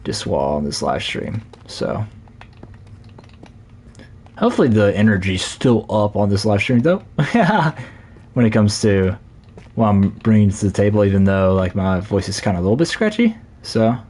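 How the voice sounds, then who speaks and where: A man live-streaming a Minecraft session, chatting casually in a scratchy, slightly hoarse voice while he plays. He rambles, corrects himself and leans on fillers. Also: lowish voice, very animated, moderate pace, darkish tone. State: hoarse from talking a lot.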